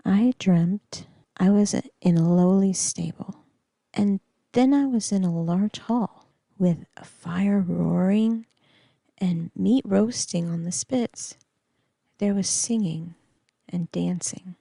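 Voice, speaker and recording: An actress playing a groggy, dreamy Norse warrior's wife in a fantasy radio drama, with a creaky, breathy voice and drowsy manner. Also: female voice, medium pitch, mellow, no echo. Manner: slow, drowsy.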